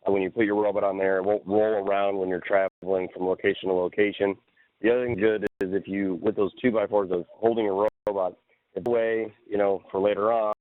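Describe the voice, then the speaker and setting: Low-voiced man on a narrow phone line. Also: no room sound. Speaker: team mentor presenting advice. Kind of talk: casual step-by-step explanation. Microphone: phone call.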